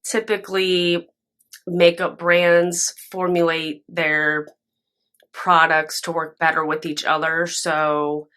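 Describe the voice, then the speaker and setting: A young woman reviewing makeup to camera at home, chatty and candid, her voice raspy and stuffy from a nap. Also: lowish voice, slow. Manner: chatty, candid and expressive, with frequent fillers. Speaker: beauty vlogger. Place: home room with candle and plants, indoors. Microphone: camera in front, sometimes very close.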